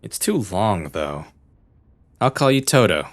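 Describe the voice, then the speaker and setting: A man playing an aloof, eccentric young detective in an anime dub, with a deadpan, theatrical delivery and a weary air. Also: fairly low pitch, measured pace. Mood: curt, dry.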